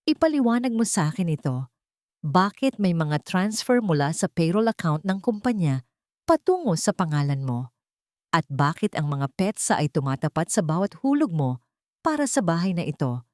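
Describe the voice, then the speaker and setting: A woman's voice: on the low side, very animated, normal speed, darkish tone, dry recording, clean studio sound. A woman voicing an anguished, betrayed husband, tearful and bitter, in a dramatized audio story. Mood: bitter, accusing.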